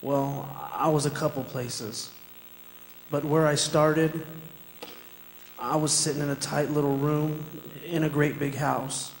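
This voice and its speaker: A man's voice: a little high, flat delivery, slow pace, slight background noise. A man playing a condemned prisoner in a radio drama, talking in a folksy, colloquial way. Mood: wry, resigned. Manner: folksy, colloquial, addressing the listener. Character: condemned male prisoner, folksy narrator, anxious and pleading. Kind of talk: radio drama acting, conversational storytelling. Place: performing arts center stage. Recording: echoey stage hall with long reverb, music.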